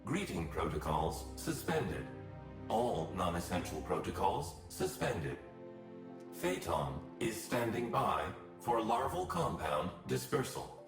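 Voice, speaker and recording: An actor voicing an emotionless station computer that makes formal, procedural announcements in a video game. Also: male voice, deep voice, very animated, slow, exaggerated voice. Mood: impassive, procedural. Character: emotionless male station computer reading out protocols. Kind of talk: scripted game dialogue, system announcements. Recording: echoing, with loud music behind.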